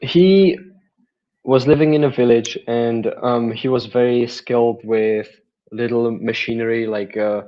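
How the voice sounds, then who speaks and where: A young man from the Czech Republic with a Czech accent, a native Czech speaker, answering interview questions remotely in a halting way. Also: mid-range voice, steady tone, mellow, lo-fi sound. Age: young adult. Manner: halting, with restarts and fillers. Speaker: guest, mindset coach.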